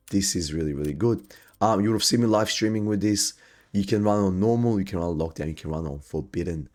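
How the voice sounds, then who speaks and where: Low voiced gaming guy, sick and losing his voice, hyped about his picks, lots of ums. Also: a little mellow. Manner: upbeat, hyped recommendations with frequent ums. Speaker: gaming video host. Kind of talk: gaming gear guide commentary.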